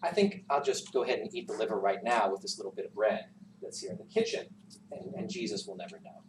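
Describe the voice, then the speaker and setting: A man's voice: lowish voice, lively intonation, quick pace. A man voicing an anxious, bumbling, sneaky disciple in a folktale, hesitant and stammering. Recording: roomy, distant classroom recording with noise.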